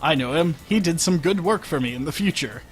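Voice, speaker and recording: A man's voice: fairly high pitch, animated, brisk, faint music behind, lightly acted. A man voicing God as a blustering, emotional old father in a comic story reading, with melodramatic delivery. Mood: gruff approval.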